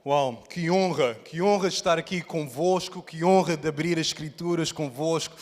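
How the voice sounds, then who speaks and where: A man's voice: fairly high pitch, normal speed. A man from Portugal with a European Portuguese accent, opening a sermon playfully and speaking straight to the congregation. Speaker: guest preacher. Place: large church hall. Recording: long hall reverb in a church.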